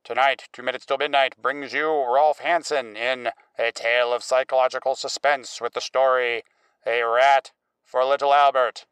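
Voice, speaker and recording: A man's voice: mid-range voice, steady tone, moderate pace, fairly bright tone, clean tone, lightly acted, rough recording. A man playing a formal, presentational American station announcer from old-time radio. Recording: faint noise and clicks.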